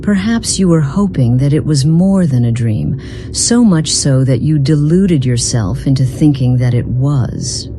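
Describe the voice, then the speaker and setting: Woman acting a very low-voiced, knowing companion. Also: animated, unhurried, noisy background. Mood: coolly teasing. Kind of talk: scripted video game character dialogue.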